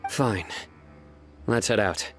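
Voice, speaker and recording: An actor playing a stern, terse and guarded investigator in a video game, with music behind him. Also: male voice, fairly low pitch, slow pace, a bit bright. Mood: curt. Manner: terse, stoic. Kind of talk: acted game dialogue.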